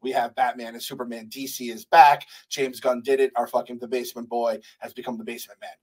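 A young adult man hosting a podcast, talking in animated, playful, swearing banter at a studio mic. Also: mid-range voice, quick pace. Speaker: podcast host. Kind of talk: casual chat. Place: studio room with poster wall. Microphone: large studio mic with headphones.

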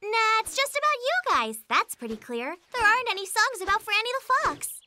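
A woman's voice: very high voice, very animated, a little fast, background noise. A performer voicing an eager, chirpy young female fox in a cartoon. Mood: wistful. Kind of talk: cartoon voice acting. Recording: music playing underneath.

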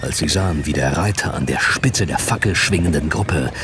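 Very deep male fantasy narrator. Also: moderate pace, background noise. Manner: expressive, suspenseful storytelling. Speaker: audiobook narrator. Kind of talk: dramatic fantasy audiobook narration.

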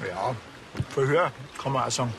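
An actor playing a laconic, dryly sarcastic police officer with a Bavarian accent in a television crime comedy. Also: male voice, mid-range voice, moderate pace, gravelly, background noise. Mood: unruffled. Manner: dry, deadpan.

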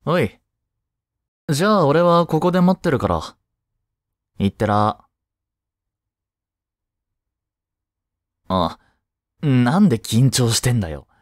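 A man's voice: mid-range voice, sing-song, a little slow, long pauses, dry recording, studio quality. A young man playing a laid-back, protective teenage childhood friend in a casual voice drama, speaking bluntly and teasingly as if to the listener. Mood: playful, casual. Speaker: voice actor. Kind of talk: first-person voice drama, casual chat to the listener.

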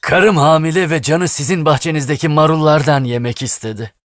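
A man's voice: fairly high pitch, fairly quick, fairly bright tone, no echo, clean studio sound, close to an everyday voice. A man voicing a frightened husband in a cartoon, pleading and apologetic. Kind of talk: cartoon voice acting.

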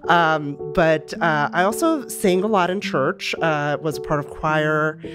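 A woman's voice: low voice, a little slow, over music. A middle-aged woman from Romania, answering interview questions in a reflective way with many fillers and restarts. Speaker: guest, music company executive.

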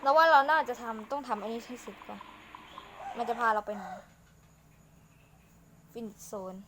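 A woman's voice: on the high side, animated, unhurried. A woman hosting a gaming video, giving informal gameplay commentary and thinking aloud as she goes. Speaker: gaming channel host. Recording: clearly noisy home recording.

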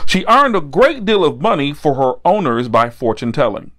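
Preacher man with very animated pitch. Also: moderate pace. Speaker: pastor. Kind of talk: sermon and spoken prayer.

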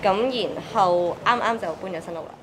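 A woman with a Hong Kong Cantonese accent chats easily while she is interviewed in a small living room. She speaks at a middle pitch and keeps a light, relaxed tone. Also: measured pace, heavy background noise. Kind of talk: casual interview chat.

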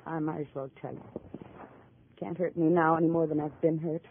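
Tough, weary criminal's wife, 1950 radio play, dull hissy sound. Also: female voice, sits low, fairly even intonation, fairly quick, gravelly. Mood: bitter, confessing. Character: hard-bitten, deceitful female gangster's wife. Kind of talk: 1950s radio acting. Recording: narrow, noisy 1950 radio recording.

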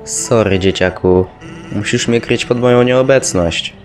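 A man voicing a laid-back, weary skeleton in a fan cartoon dub, halting and understated. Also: medium pitch, faint music behind, close to an everyday voice. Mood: weary, reassuring.

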